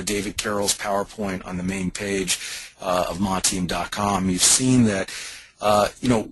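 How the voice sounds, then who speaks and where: Husky man giving an emphatic pitch. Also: a bit bright, poor audio. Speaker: company leader pitching network marketing. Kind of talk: persuasive sales pitch on a conference call.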